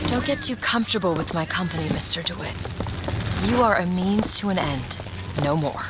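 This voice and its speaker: A woman's voice: fairly low pitch, expressive, normal speed, natural voice. A woman playing a wary, defiant young woman in a video game, speaking formally and with emotion. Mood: cold, scornful. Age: young adult. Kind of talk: dramatic video game acting. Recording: music behind. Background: music.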